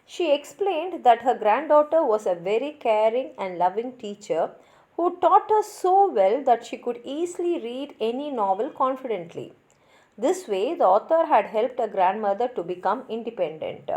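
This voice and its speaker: A woman's voice: fairly high pitch, big pitch swings, normal speed, a little mellow. A woman narrating a scripted educational summary as the presenter of a literature lesson.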